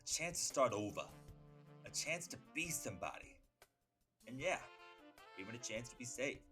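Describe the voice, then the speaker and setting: A man voicing a cocky, opinionated, streetwise hitman with a soft heart in a comic book dub, chatty and slangy. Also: medium pitch, animated, moderate pace, music in the background, close to an everyday voice. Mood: reflective.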